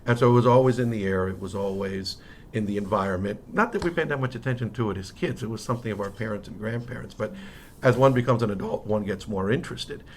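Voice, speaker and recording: Interview guest: male, middle-aged, American accent, lower register, casual anecdotal delivery that restarts and rephrases, some noise behind. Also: fast. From Miami, United States. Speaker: interview guest, history professor. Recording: noticeable background noise.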